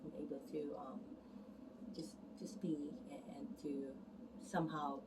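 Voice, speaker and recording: A woman massage therapist talks to camera in a casual, earnest ramble, often restarting her sentences. Her voice sits low. She sounds set back from the mic, and a steady noise runs underneath her. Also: measured pace. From Canada immigrant, arrived as a teen. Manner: rambling, self-correcting, earnest. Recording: distant mic, noticeable background noise.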